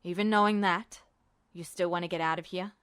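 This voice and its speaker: A woman voicing a self-assured adult male army colonel in measured, coaxing dialogue for a fan fiction audiobook. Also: lowish voice, fairly even intonation, a bit bright, clear, resonant tone, pitched below the performer's own voice, natural voice. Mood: coaxing, serious.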